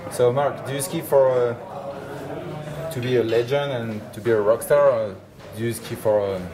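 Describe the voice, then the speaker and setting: A young man from France with a French accent, a pro skier chatting in halting, relaxed English. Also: medium pitch, crowd noise. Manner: halting, relaxed, short answers. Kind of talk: casual chat. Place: lodge room with trophies, indoors.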